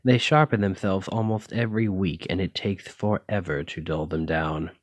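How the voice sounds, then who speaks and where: A man's voice: low voice, steady tone, normal speed, no echo. A man playing a flirty, possessive dragon-blooded librarian in an intimate ASMR roleplay, with a teasing, coy way of drawing out his phrases. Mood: chatty.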